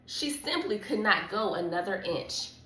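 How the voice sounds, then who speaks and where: A woman's voice: mid-range voice, expressive, unhurried, bright, forceful tone, recorded from a distance. A woman hosting a children's read-along, reading expressively and chatting encouragingly with a child. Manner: encouraging, coaxing. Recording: echoey room with background noise.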